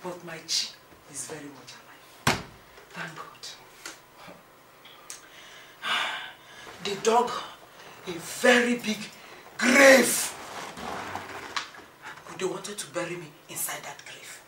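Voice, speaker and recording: A woman's voice: fairly low pitch, animated, slow, pauses often. A dramatic elderly Nigerian mother, echoey. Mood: wounded, defiant. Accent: Nigerian (Igbo). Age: older. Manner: emphatic, repetitive, exclaiming. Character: elderly aggrieved, fiery, dramatic village mother-in-law. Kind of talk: melodramatic film acting. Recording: roomy, distant mic with loud noise.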